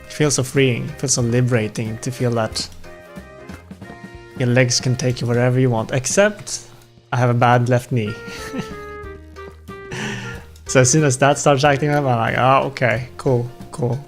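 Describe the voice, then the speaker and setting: A man's voice: medium pitch, steady tone, moderate pace, pauses often. Self-deprecating young man, non-native English, music behind. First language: not English. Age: young adult. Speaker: streamer. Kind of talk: casual chat while gaming.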